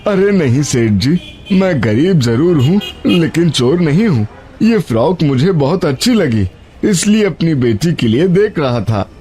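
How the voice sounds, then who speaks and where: Humble poor father, slightly high voice, plaintive and gentle, animated story, music behind. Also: unhurried. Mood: wistful, humble. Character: poor, honest, sorrowful father, a tender day labourer. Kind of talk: animated moral story dialogue.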